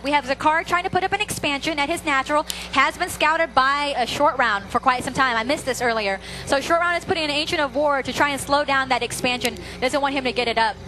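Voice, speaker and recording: Woman game caster, high voice, strained and fading voice, loud music behind. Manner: running play-by-play with frequent fillers. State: losing voice. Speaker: esports caster. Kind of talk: play-by-play game commentary.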